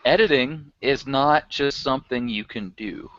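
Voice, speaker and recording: A man's voice: mid-range voice, measured pace, fairly bright tone. A man chatting casually as a podcast guest, rambling and chuckling often. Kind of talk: casual chat. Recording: dry, dull lo-fi recording.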